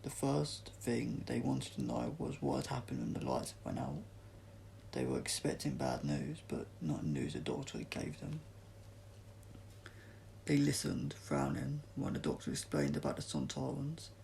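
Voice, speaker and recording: A man's voice: medium pitch, huge pitch swings, measured pace, gravelly, darkish tone, a little hiss or hum. A man reads a storybook aloud as narrator, sometimes stumbling over words and correcting himself. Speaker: audiobook reader.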